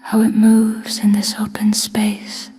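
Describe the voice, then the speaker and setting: A woman with a soft, breathy, hushed voice leading a scripted guided body scan meditation in short phrases with long pauses. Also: medium pitch, completely flat delivery, loud music behind the voice.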